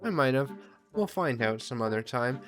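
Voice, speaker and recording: A man's voice: mid-range voice, expressive, moderate pace. Male gameplay commentator, mid-range voice, casual and self-deprecating, rambling delivery with mild swearing, faint music behind. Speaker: gaming video host.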